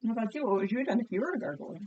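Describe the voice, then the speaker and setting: A woman's voice: a little high, lively intonation, very fast, darkish tone, lo-fi sound. Woman teacher leading a vocabulary lesson. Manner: encouraging, questioning, enunciates words for pupils to repeat. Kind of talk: read-aloud vocabulary lesson with children.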